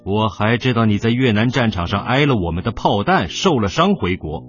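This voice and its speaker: An actor playing an emotional middle-aged son and former soldier in a radio drama, with sighs and exclamations. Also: male voice, lowish voice, animated, a little fast, lightly acted. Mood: cold, sarcastic. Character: devoted, emotional Chinese son, ex-soldier and single father. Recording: dull lo-fi, music underneath.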